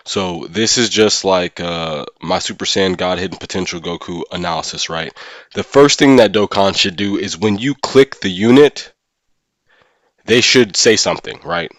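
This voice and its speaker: A man with a very deep voice hosts a casual gaming livestream. His talk is slangy and conversational, often checking in with listeners. The sound is close and dry, with very little room in it. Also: moderate pace, fairly bright tone, no room sound. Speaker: streamer and host of a gaming channel.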